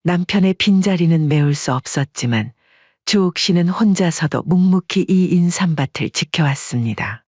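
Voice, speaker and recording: Calm, husky male storyteller. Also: on the high side, normal speed, no room sound, dull audio. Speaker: storyteller narrator. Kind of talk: scripted audiobook narration.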